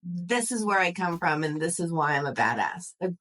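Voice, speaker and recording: A woman's voice: lowish voice, sing-song, moderate pace, fairly bright tone. A young adult woman hosting a podcast, chatting enthusiastically and often saying "like". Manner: enthusiastic, chatty, uses "like" a lot. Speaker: podcast host. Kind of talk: casual interview chat.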